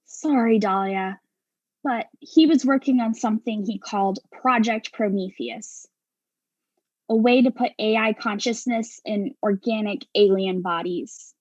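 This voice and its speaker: A woman's voice: medium pitch, unhurried, no room sound, highs cut off, lightly acted. A woman playing a blunt, knowing crew member in a comic mystery, with a dry manner.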